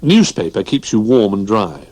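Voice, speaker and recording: A man voicing a helpful, bossy male teddy bear in a storybook reading, brisk and take-charge. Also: lowish voice, sing-song, a little mellow.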